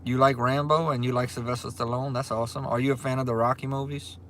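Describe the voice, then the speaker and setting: A man's voice: medium pitch, flat delivery, fast, fairly bright tone, a little hiss or hum. A man in his forties with a Louisiana accent, hosting a casual livestream and answering viewer comments in an easygoing way, often repeating himself. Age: 40s. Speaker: livestream host. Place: restaurant. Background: faint restaurant ambience.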